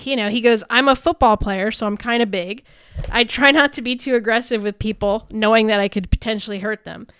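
A woman's voice: on the high side, a little fast, a bit bright, clean tone, no room sound, studio quality. A woman co-hosting a sports talk show, chatting casually and giving her opinions as she recaps the news.

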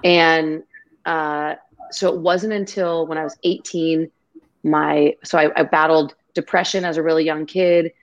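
A woman with a low voice speaks as a guest over a video call. She talks in an effusive, grateful and rambling way. The audio is dull and lo-fi. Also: fairly even intonation, unhurried. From half Greek, half Irish family. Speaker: podcast guest. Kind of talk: casual video-call interview.

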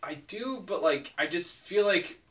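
Bright-voiced rambling podcast guy. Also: sits high, expressive, measured pace, far from the mic. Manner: rambling, filler-heavy. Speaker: podcast co-host. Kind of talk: casual chat.

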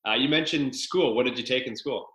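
A man hosts a podcast in an upbeat, eager way. His voice is bright and forceful, and the echoey room rings around it. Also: mid-range voice, fairly quick. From Canada. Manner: upbeat, enthusiastic, loose with fillers. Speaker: podcast host. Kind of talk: casual podcast interview.

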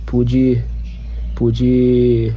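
A man's voice: medium pitch, monotone, very slow. A man of twenty-eight, a football striker giving hesitant interview answers and repeating words as he thinks. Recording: muffled lo-fi recording with faint noise.

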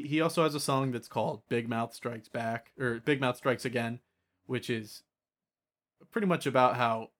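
A man's voice: mid-range voice, a bit bright. A man chatting casually as a podcast host about tabletop gaming, often repeating words and trailing off.

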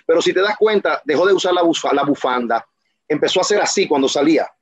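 A man's voice: on the high side, expressive, fast talker. Passionate, emphatic man in an interview. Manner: passionate, emphatic, rambling with restarts. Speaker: guest, professional wrestler. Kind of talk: casual interview talk.

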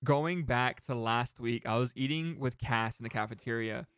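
A man co-hosting a casual podcast, telling rambling, animated anecdotes with some casual swearing. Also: mid-range voice, normal speed, clear, resonant tone, dry recording, clean studio sound. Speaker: podcast co-host.

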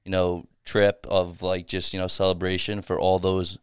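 A man's voice: deep voice, completely flat delivery, normal speed, smooth, no room sound, clean studio sound. A man hosting a podcast, asking questions in a casual, loose way with free swearing. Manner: casual, swears freely, laughs often. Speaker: podcast host. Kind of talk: casual interview chat.

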